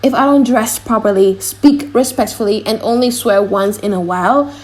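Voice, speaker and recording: A woman voicing a brash, playful young gentleman with a bold, mock-solemn manner in an audiobook drama. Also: medium pitch, moderate pace, close to an everyday voice, poor audio. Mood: mock-solemn, pleading.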